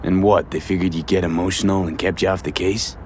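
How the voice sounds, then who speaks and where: Man playing a low-voiced, streetwise mercenary. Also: little pitch movement, brisk, a little mellow, a little hiss or hum, close to an everyday voice. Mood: probing, concerned. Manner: casual, clipped, dry. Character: streetwise male mercenary, cool, blunt and dry. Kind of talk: video game dialogue acting.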